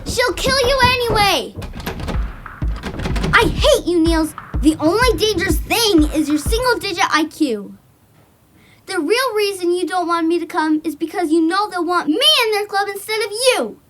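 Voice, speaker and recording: A woman's voice: very high-pitched, expressive, moderate pace, noisy background. A woman playing a precocious, bratty little sister in an audio drama, speaking in a haughty, dramatic know-it-all way. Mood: angry.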